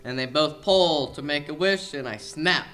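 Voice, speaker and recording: Young man playing a sharp-voiced, exasperated mob boss. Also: on the high side, normal speed, close to an everyday voice. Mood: self-pitying. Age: young adult. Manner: whiny, melodramatic, blustering. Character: exasperated, self-pitying middle-aged mob boss running a pizza parlor. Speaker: actor in a student audio play. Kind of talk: comic radio play acting.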